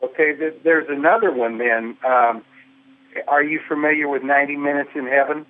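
A man's voice: a little high, fairly even intonation. Hesitant man calling in by phone. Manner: hesitant, halting. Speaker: caller. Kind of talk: call-in radio question. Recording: tinny phone line.

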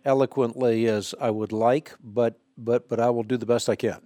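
A man whose first language is Dutch, hosting a call-in radio show and calmly explaining faith questions, often citing scripture. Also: medium pitch, dry recording. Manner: measured, explanatory, quotes scripture. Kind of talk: Bible answer call-in show.

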